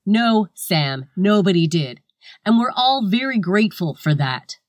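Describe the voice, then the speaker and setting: A woman's voice: medium pitch, animated, unhurried, no echo, studio quality, lightly acted. A woman playing a scathing, foul-mouthed comedian in a scripted comic argument, with a sarcastic, cutting delivery. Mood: exasperated. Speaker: guest comedian in a scripted satire sketch.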